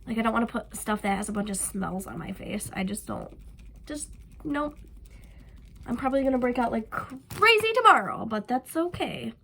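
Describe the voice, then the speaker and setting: A woman's voice: on the high side, very animated, moderate pace, vocal fry, fairly bright tone, a little hiss or hum. A young woman with a stuffy, nasal voice from a cold, chatting casually through a makeup tutorial with frequent ums and likes. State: sick with a stuffy nose. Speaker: beauty vlogger.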